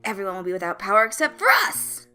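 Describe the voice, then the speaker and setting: A woman voicing a cheerful, theatrical boy supervillain who declaims his schemes in a bright, excitable way over music. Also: medium pitch, quick pace. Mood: excited, plotting. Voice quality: peppy, bright.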